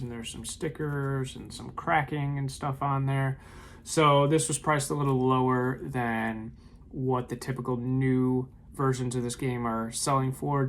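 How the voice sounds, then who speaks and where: A man's voice: medium pitch, a little hiss or hum. A man casually running through his weekend eBay sales, talking loosely with frequent ums and uhs from a room at home. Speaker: eBay reseller vlogger. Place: home room with shelves of tapes.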